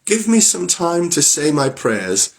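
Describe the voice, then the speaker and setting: A frightened young wife from an old storybook, her voice lifted high and strained with tears. She pleads and calls out in desperation, as a man reading aloud puts her on. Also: a little slow, fairly bright tone. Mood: pleading.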